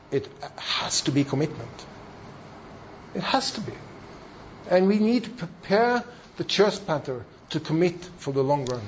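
Male seminar trainer, Norwegian accent, high voice, halting English with emphatic repeats; slightly dull, lo-fi recording. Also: slight background noise. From Norway. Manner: halting non-native English with emphatic repetition. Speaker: church planting trainer. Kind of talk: seminar talk. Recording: dull, lo-fi sound.

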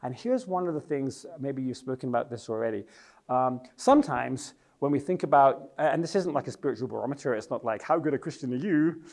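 A man's voice: medium pitch, lively intonation, fairly quick, creaky, a little mellow. A middle-aged Englishman leading a teaching session in a chatty, joking way, asking the audience questions. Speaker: youth worker and church leader.

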